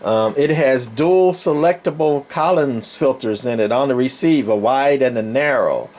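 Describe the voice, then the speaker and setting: A man's voice: medium pitch, measured pace, darkish tone. A middle-aged man casually showing off his collection, talking unscripted in a folksy, rambling way as he thinks aloud. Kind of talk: casual, unscripted show-and-tell narration.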